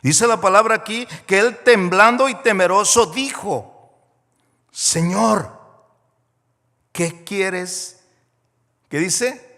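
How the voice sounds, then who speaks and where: A man from Mexico delivers a sermon in a somewhat high voice. He shifts from quiet, intimate storytelling to loud, climbing emphasis. The sound hangs in a large church hall with a long echo. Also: slow, long pauses. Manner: swings from hushed storytelling to loud, rising preaching. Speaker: guest pastor.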